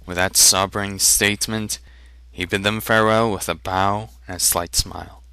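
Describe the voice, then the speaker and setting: Guy reading a fantasy story out loud, really bright clear voice, dry close sound. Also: lowish voice, steady tone, normal speed, no echo. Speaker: audiobook narrator. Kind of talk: dramatic reading of a fantasy novel.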